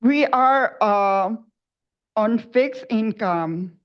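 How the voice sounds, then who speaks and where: A woman's voice: on the high side, drawn-out words, smooth. An older woman, a senior tenant, giving a formal prepared public comment at a council meeting. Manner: formal, careful, reading a statement. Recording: muffled lo-fi meeting audio.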